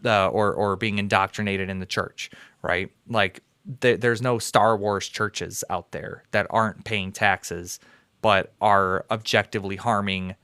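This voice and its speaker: A young man from the United States talks casually on his live call-in stream, loose and full of fillers. His voice is smooth and clear. The sound is dry and close, with no room around it. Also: on the low side, steady tone, a little slow, sharp tone, no room sound. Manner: conversational, filler-heavy, upbeat sign-off. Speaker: livestream host.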